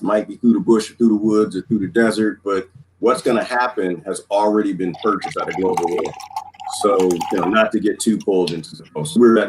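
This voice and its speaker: An American man hosting a casual group chat on a livestream. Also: lowish voice. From United States. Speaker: livestream panel host. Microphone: online group call. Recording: steady background noise on livestream call.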